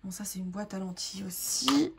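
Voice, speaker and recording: A young woman with a sore throat and a hoarse voice, chatting casually to viewers as she sorts her makeup, rambling with plenty of fillers. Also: mid-range voice, brisk, heavy background noise. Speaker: makeup artist vlogger. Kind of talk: casual sorting vlog, talking to viewers.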